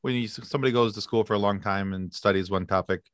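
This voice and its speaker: A man's voice: lowish voice, fairly even intonation, quick pace, no echo, studio quality. A man chatting as a podcast guest, easygoing, sometimes searching for words. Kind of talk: casual chat.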